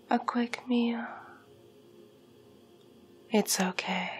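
A woman as a slow whispering vampire. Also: medium pitch, a little mellow, slight background noise. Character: seductive female vampire feeding on the listener. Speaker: ASMR roleplay performer. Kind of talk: ASMR roleplay. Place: dark room with backdrop.

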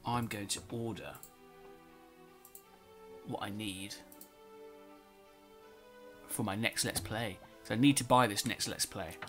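A man's voice: fairly low pitch, slow, pauses often, a bit bright. A man giving casual let's play commentary, a bit nasal from a cold, reading game text aloud as he plays. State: slightly nasal, sore throat. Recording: faint music behind.

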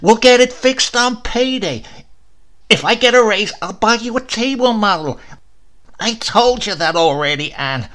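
A man's voice: sits high, animated, unhurried, fairly bright tone, pitched above the performer's own voice. A man voicing a wretched, weary husband at the end of his patience in a story. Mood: shouting, exasperated. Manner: strained, weary.

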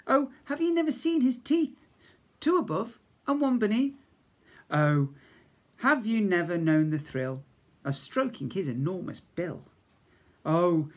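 A British man in his fifties, a schoolteacher, reading comic rhymes to young children expressively, with chatty asides. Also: high-pitched. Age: 50s. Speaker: infant school teacher. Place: small indoor school office. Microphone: device camera at arm's length.

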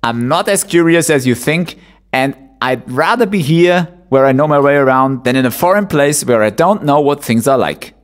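A man's voice: fairly high pitch, lively intonation, normal speed, pitched above the performer's own voice, lightly acted. A man voicing a plain, stubborn old male villager in a told story.

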